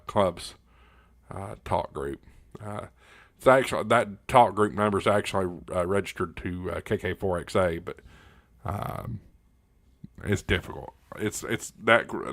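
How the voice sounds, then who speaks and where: A low-voiced man hosts a casual livestream from home, speaking right up on a studio mic. His delivery is folksy and unscripted, with halting pauses as he addresses his viewers. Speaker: livestream host of a ham radio channel. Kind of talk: casual livestream chat answering viewer questions. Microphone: close directional studio condenser microphone, spoken right up on it.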